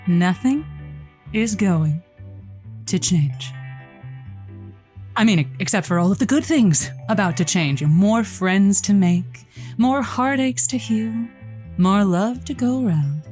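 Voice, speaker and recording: A flirty late-night radio host in an audio drama. She is teasing and theatrical, full of motherly endearments, at a middling pitch, with faint music underneath. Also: expressive, takes it slowly, long pauses, a little mellow. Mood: flirty, reassuring. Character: flirty, motherly woman hosting a late-night lonely-hearts radio show.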